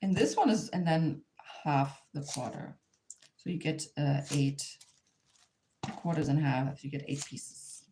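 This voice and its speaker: A woman from Germany with a German accent gives an informal hands-on craft demonstration in English as a second language, sometimes halting and repeating herself as she searches for words. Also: low-pitched, noisy background. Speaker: craft instructor, artist.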